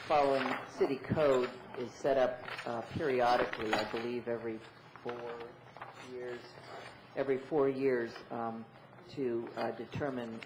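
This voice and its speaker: An American man chairing a formal public meeting, speaking in a hesitant, halting way. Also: medium pitch. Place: indoor council meeting room. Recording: roomy, clearly noisy meeting room.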